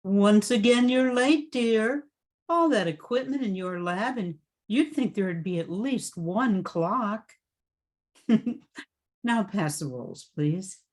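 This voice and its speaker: A woman acting a stern, concerned wife in a radio drama, with a prim, gently scolding manner. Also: mid-range voice, lively intonation, slow. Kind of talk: amateur old-time radio drama acting.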